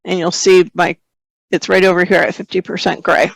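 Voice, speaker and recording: A woman hosting a software webinar, slightly hoarse, explaining steps in a relaxed way as she works and reading out viewer questions. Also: fairly low pitch, normal speed, no echo, poor audio. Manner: relaxed, explains while working, reads viewer questions aloud. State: slightly hoarse, clearing throat. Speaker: photography webinar host. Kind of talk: screen-share software tutorial.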